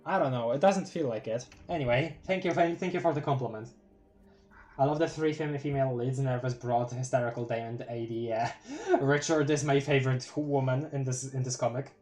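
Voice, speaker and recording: A streamer from Poland with a light Polish accent, reading a webcomic aloud on a live stream in a relaxed, chatty way. The delivery is giggly and excitable, with frequent stumbles over long words. Faint music plays underneath. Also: mid-range voice, brisk.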